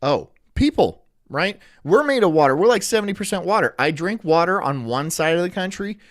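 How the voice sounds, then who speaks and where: A young man from Florida chatting casually on a live stream, rambling through jokes and deadpan absurd asides. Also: sits high, a little fast, no room sound, clean studio sound. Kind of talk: casual live-stream chat reacting to a video.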